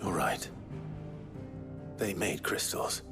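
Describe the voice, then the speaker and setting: An actor playing a grim, weary, brooding leader in a dramatic video game, speaking in a dry, measured way. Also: male voice, low-pitched, lively intonation, music in the background. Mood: brooding, grave. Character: grim, weary male leader haunted by power.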